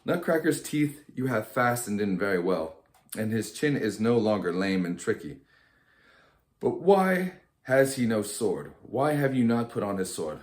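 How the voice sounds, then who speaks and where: A man's voice: on the low side, sing-song, measured pace, a little mellow. A man voicing a cheeky, outspoken young boy in a storybook, with a blunt, eager manner.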